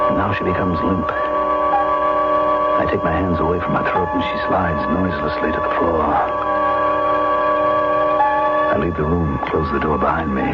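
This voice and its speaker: An actor playing a deranged arsonist and killer, narrating in a 1970s radio drama with intense, deliberate phrasing. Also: male voice, lightly acted. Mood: cold menace. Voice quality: low-pitched. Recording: dull vintage radio recording. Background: loud dramatic music.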